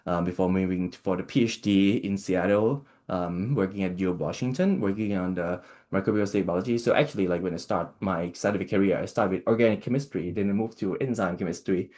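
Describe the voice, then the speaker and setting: A young man from Thailand, a postdoctoral researcher, giving a seminar talk in a halting way with many fillers and restarts. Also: sits low, a little mellow.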